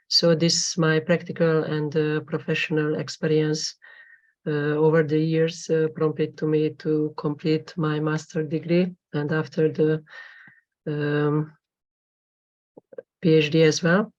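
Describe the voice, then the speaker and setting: Halting woman presenting research. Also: deep voice, level tone, darkish tone. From Hungary. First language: Hungarian. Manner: halting, formal, with frequent pauses. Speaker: conference presenter, PhD student. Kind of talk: academic presentation. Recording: dull lo-fi recording.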